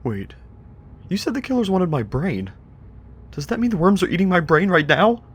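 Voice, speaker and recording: Man acting as Happy, animated naive young investigator. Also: sits high, normal speed, slight background noise. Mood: anxious, curious. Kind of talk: scripted web horror drama.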